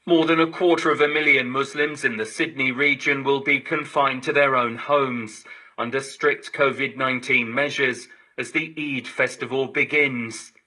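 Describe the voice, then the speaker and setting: A male correspondent reads a scripted report in a bright, forward voice of medium pitch. It comes over a digital shortwave radio broadcast. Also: steady tone, normal speed, rough recording. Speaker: news correspondent.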